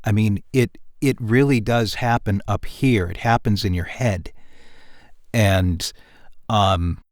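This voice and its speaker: A man speaking as the writer in a casual, reflective cast discussion, halting and thoughtful as he picks his words. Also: lowish voice, fairly even intonation, dry recording, clean studio sound. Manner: halting, thoughtful, mulls over wording.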